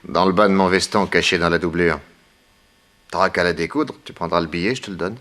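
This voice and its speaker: An actor playing a bold, wry detective with a brisk commanding manner in a 1960s French radio serial. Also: male voice, deep voice, a bit bright. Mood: coaxing.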